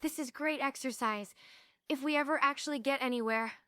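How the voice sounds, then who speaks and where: A woman's voice: high voice, a little fast, a bit bright, dry recording, studio quality. A woman playing a yearning, stubborn, emotional heroine in a script read of a musical stage play. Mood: lighthearted.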